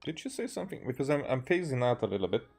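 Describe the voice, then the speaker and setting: Halting male streamer with Hungarian accent. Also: mid-range voice, very animated, gravelly, darkish tone. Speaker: game streamer. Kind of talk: casual gameplay commentary.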